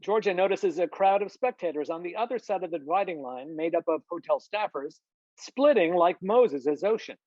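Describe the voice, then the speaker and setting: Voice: mid-range voice, animated, normal speed, a little mellow, no room sound. A narrator reading scripted stage directions at a table read over a video call. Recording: muffled, dull video-call audio.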